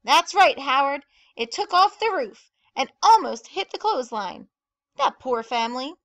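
A woman voicing excitable small-town gossips in a storybook, exclaiming breathlessly and emphatically as they spread exaggerated news. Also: sits high, unhurried. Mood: excited. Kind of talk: animated storybook character reading.

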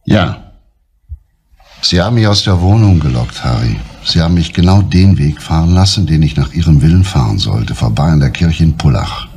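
A stern middle-aged police inspector speaks in a deep, husky voice. He stays calm and deliberate under pressure, in the manner of a 1970s crime show. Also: full character voice. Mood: grave. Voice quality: low, husky, measured. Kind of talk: 1970s TV crime drama dialogue.

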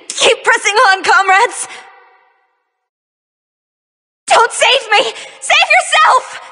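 A young magical-girl heroine from a video game, cocky and teasing. Her voice is bright and forceful, with slow, theatrical, sassy delivery. A long reverb hangs on every line. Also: very high voice, expressive, full character voice. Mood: upbeat, determined. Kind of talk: video game character voice lines.

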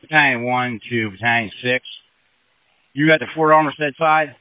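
Man, medium pitch, fire officer, emergency traffic, narrowband two-way radio. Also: normal speed.

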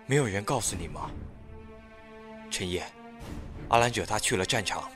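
A man plays a sorrowful figure in an ancient fantasy audio drama. The voice is low and grave, measured yet expressively varied, with music clearly behind it. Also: lively intonation, close to an everyday voice. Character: solemn, sorrowful man bearing tragic news.

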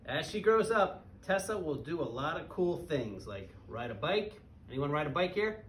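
A man's voice: a little high, big pitch swings, moderate pace, a bit bright. A man in his thirties reads a picture book aloud to young children, using simple phrasing and putting questions to them as he goes. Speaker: parent guest reader at a school. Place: small elementary school classroom. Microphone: camera a few metres away, speaking through face mask. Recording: roomy, distant mic with background noise.

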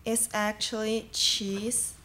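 A woman's voice: on the high side, flat delivery, takes it slowly, sharp tone, a little hiss or hum. A young woman acting an easily alarmed friend in a student live radio play. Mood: teasing. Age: young adult. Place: small studio. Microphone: standing microphone.

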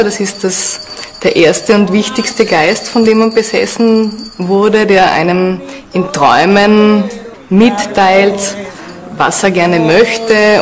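A woman's voice: medium pitch, a little hiss or hum. A woman speaking as an interviewed expert, explaining her field in spontaneous talk. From Austria. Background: background music.

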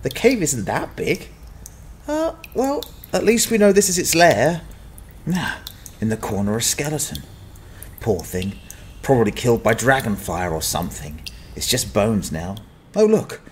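Hapless sword-for-hire played by a man, wry mutterer, fantasy audio drama, very varied pitch, faint background noise. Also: big pitch swings, unhurried. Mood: grumbling, wary. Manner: muttering to himself, stammering, sardonic. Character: hapless, wry male down-at-heel adventurer.

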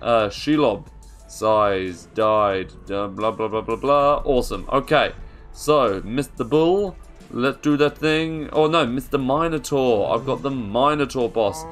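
A young British man giving casual, slangy gameplay commentary in an excitable, self-interrupting way. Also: mid-range voice, unhurried. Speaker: gaming YouTuber. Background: music under the voice.

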